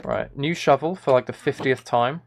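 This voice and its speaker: A man's voice: medium pitch, normal speed. A man livestreaming a game with casual commentary, muttering to himself in a mildly exasperated way. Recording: keyboard typing and clicking noise. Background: keyboard and mouse clicks.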